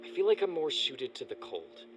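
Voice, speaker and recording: A man's voice: on the high side, very animated, moderate pace. A male actor playing a lost, anxious boy in a family audio drama, earnest and questioning. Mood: brooding. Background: prominent music.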